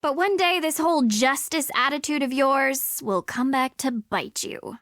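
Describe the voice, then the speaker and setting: A woman's voice: high-pitched, unhurried, a bit bright, dry recording, studio quality. A woman voicing a prickly, haughty rival in a video game, sarcastic and grudging in every compliment. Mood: sardonic. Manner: sardonic, haughty.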